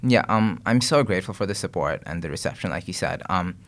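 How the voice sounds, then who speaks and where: A man's voice: sits low, animated, quick pace, creaky. A young man from Bangladesh, a guest content creator, talking earnestly and reflectively in a casual interview, with frequent fillers and restarts. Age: young adult.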